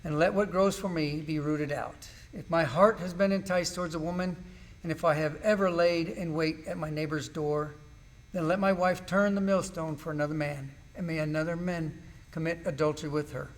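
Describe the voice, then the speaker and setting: A man performs a suffering, righteous man from a Bible drama. His voice sits fairly high for a male, and he declaims his grievance and innocence in solemn, measured phrases. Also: steady tone, close to an everyday voice. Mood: solemn oath. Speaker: amateur actor in a church class drama. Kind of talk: dramatized scripture recitation.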